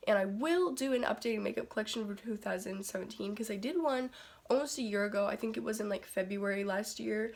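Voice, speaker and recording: Congested, raspy teenage girl vlogging. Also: mid-range voice. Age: teens. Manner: chatty, rambling, heavy on "like" and "um". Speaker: beauty vlogger. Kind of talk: casual chat to camera. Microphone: phone camera.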